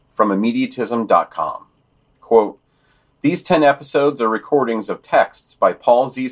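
A man's voice: on the low side, a bit bright. A man co-hosting a podcast, reading a scripted news digest with wry, sardonic commentary.

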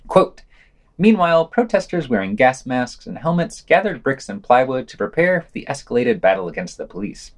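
A man's voice: medium pitch, big pitch swings, normal speed. A man reading a scripted podcast news digest and quotes, with wry, sardonic commentary.

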